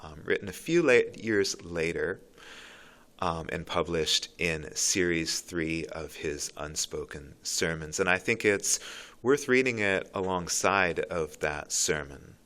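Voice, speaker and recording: A man with a low voice giving earnest, reflective commentary on a poem, pausing and restarting as he thinks. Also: pushed and bright. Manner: thoughtful, earnest, with pauses and restarts. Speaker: literature commentator.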